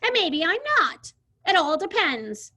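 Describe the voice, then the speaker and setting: A woman voicing a bossy, screechy little girl in a storybook read-aloud, with a squeaky, snippy voice. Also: very animated, takes it slowly, sharp tone, exaggerated voice. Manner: shrill, demanding, sing-song.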